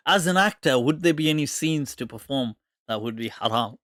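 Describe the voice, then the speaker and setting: A man's voice: medium pitch, expressive, normal speed, a bit bright, no echo, studio quality. A man in his forties hosting a live question and answer show, chatting casually and laughing often. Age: 40s. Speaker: host answering viewer questions.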